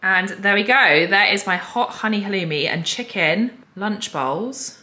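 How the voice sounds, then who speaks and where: Bright-voiced young woman, chatty. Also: mid-range voice, measured pace. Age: young adult. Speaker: food and fitness vlogger. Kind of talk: casual chatty recipe walkthrough. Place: modern hard-surfaced home kitchen, indoors. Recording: roomy kitchen echo.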